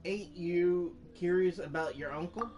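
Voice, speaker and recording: A woman's voice: on the low side, unhurried, lo-fi sound. A woman gaming on her channel, chatting casually and reading out dialogue choices as she plays. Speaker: gameplay streamer. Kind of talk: casual game playthrough, voicing dialogue choices. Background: loud game music.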